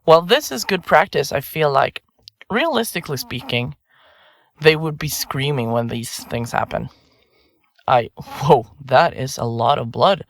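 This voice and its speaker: A chirpy, remorseless woman who kills for her podcast. Her delivery is very animated and theatrical, sliding up and down with blithe self-regard. It is audio drama acting in a dry recording space. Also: extremely low pitch, unhurried, no room sound, heavily acted. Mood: absorbed, detached. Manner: blithe, chatty, flippant, theatrical. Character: chirpy, self-absorbed female podcaster and remorseless serial killer. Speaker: suspect under interrogation.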